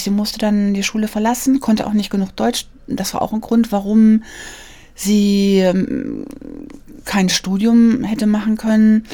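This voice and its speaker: A woman answering interview questions earnestly, often pausing and searching for words with fillers. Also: mid-range voice, mellow. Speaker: author interviewed about portraits of immigrant women. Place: studio, seated at a mic.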